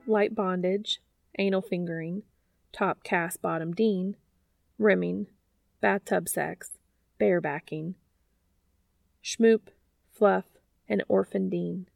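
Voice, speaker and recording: A woman's voice: on the low side, fairly even intonation, slow, vocal fry, pauses often, dry recording. Woman reading aloud, dry close sound. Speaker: podcast host and fan fiction reader. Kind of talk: scripted narration, reading fiction aloud.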